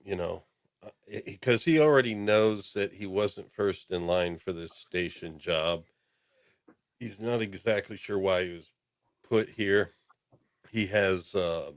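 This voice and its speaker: Low voiced guy hosting a podcast, rambly recap full of ums, dry close sound. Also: no echo. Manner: rambling, frequent ums and uhs. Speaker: podcast host. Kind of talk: casual episode recap.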